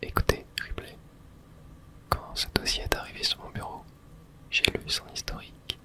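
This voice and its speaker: Soft whispering ASMR gamer. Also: normal speed. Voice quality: soft breathy unvoiced whisper. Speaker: video game streamer and host. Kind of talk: whispered ASMR game playthrough.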